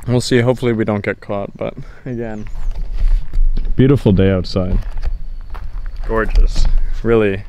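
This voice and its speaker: A man's voice: on the low side, steady tone, unhurried, pauses often, darkish tone, slight background noise. A young man chatting casually for a vlog, talking into a handheld camera outdoors. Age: young adult. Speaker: vlogger. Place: outdoors in a driveway by a house. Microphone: handheld camera at arm's length.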